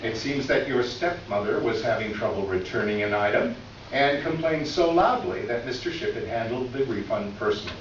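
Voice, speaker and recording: A man's voice: medium pitch, normal speed, natural voice. A man acts out a wronged older male clerk close to retirement in a readers theater piece. He confesses by letter in formal, wordy, earnest sentences. The recording is very reverberant and a bit muffled, with light noise. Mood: earnest, aggrieved. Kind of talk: staged readers theater, reading a letter aloud. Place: live reading in a reverberant room. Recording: echoey room from far off, dull, noisy.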